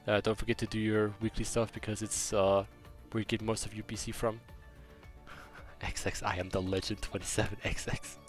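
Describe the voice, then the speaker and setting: A man's voice: lowish voice, little pitch movement, normal speed, soft background music. A young man from Germany with a slight German accent, chatting casually on a game stream and rambling through a story with many restarts. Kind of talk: casual stream chat, reading chat.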